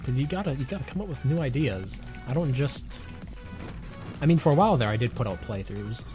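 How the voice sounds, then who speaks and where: A man's voice: medium pitch, very animated, fairly quick, music in the background. Man streaming games while getting over a cold. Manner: chatty, thinks aloud while playing. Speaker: game streamer. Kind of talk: casual livestream chat while playing.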